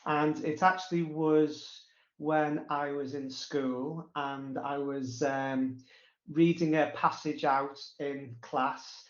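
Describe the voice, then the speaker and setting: Male interview guest with a stutter, slow halting delivery, roomy and muffled recording. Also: on the high side, little pitch movement. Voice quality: stutter with blocks, repetitions and drawn-out pauses. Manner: halting, frequent fillers. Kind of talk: casual personal recollection. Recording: roomy, echoey, dull sound.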